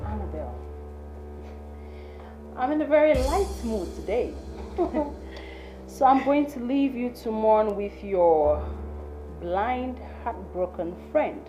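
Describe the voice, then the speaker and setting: A woman's voice: on the high side, animated, slow, pauses often. An actress playing a spiteful young woman who mocks and threatens her own sister. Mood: sneering. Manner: mocking, sneering, threatening. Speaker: actress in a family drama. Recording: echoey room with music behind.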